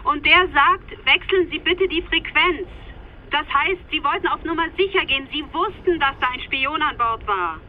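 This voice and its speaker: A woman playing a keen investigator in a radio drama, briskly briefing a colleague. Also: high-pitched. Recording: slightly muffled radio link, faint noise.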